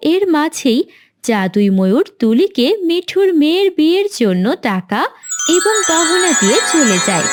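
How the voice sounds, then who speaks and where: A woman narrates a children's story. Her voice is high, with animated rises and falls. The delivery is scripted storytelling for a cartoon.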